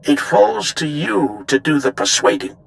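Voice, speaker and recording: An actor playing a formal, deferential Imperial officer giving a report in a video game. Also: male voice, on the high side, very animated, unhurried, gravelly, a little hiss or hum, exaggerated voice, rough recording. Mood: dutiful.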